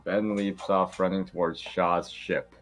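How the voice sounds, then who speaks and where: A man's voice: deep voice, flat delivery, measured pace. A man reading stage directions as narrator in an informal amateur script reading.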